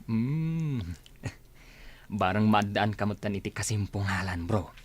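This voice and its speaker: A man's voice: on the low side, lively intonation, slow, dry recording. A Filipino-accented man playing a cheerful, teasing buddy in an audio drama. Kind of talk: amateur audio drama acting.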